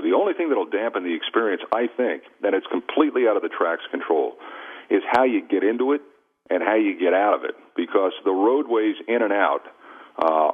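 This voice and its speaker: Low, husky American man on phone line. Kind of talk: casual sports commentary. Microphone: phone call. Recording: tinny band-limited phone line on radio.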